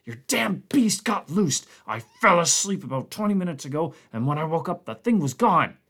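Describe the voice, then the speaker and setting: A man putting on a gruff, swearing expedition man. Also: fairly high pitch, big pitch swings, moderate pace, fairly bright tone, voice pushed up, full character voice. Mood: angry. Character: angry frightened male expedition member.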